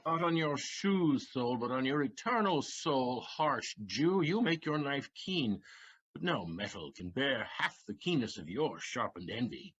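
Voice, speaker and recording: A man playing a hot-tempered, scornful gentleman in a Shakespeare audio play, sneering and declamatory, on a muffled recording. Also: medium pitch, very animated, a little slow, breathy edge, exaggerated voice. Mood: scornful anger.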